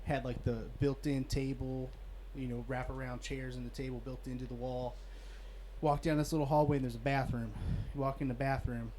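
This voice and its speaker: A man's voice: mid-range voice, level tone, fairly quick, warm tone, low-quality recording. A man, a guest telling a story and building suspense as he goes. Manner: builds suspense, says "listen". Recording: faint background noise.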